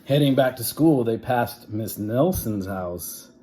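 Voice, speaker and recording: A man's voice: mid-range voice, lively intonation, takes it slowly, warm tone, a little hiss or hum. A man reading a children's story aloud in a theatrical, playful way, with asides to his listeners. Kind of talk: animated children's read-aloud.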